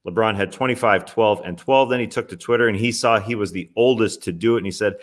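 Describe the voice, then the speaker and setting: A man's voice: on the low side, fast. A young man hosting a basketball recap show, talking in a casual, chatty way with wry asides. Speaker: sports podcast host. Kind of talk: NBA recap commentary.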